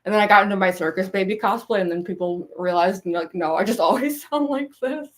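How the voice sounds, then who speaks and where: A young woman with a rusty, gruff voice and a goofy, frequent laugh chats with her livestream viewers. She rambles in a casual way, full of fillers and little restarts. Her pitch sits in the middle of the usual range for a woman. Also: brisk. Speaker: cosplay livestreamer.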